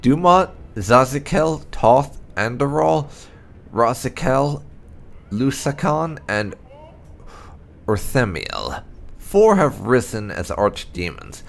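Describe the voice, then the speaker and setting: A man reading fantasy lore aloud as dramatic narration, in a deliberate storyteller's delivery. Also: mid-range voice, sing-song, gravelly. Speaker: gameplay channel host. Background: music.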